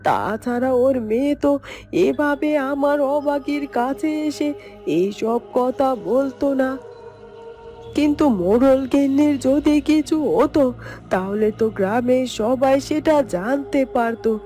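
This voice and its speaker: A woman plays a protective mother turned bird in a fairytale cartoon. Her voice is mellow and fairly high, fretful as she wonders aloud, with music underneath. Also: a little slow. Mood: worried, puzzled. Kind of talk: Bengali fairytale cartoon voice acting.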